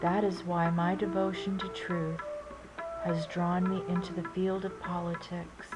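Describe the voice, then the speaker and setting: A woman reads scripted inspirational sayings as a narrator. Her voice sits a little low and she moves at a very slow, deliberate pace with a solemn delivery. Prominent Indian classical flute music plays behind her. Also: level tone, rough recording. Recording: loud music under the voice.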